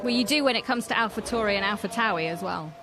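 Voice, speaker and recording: A woman's voice: mid-range voice, quick pace. Female motorsport reporter over music. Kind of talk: live broadcast reporting with casual banter.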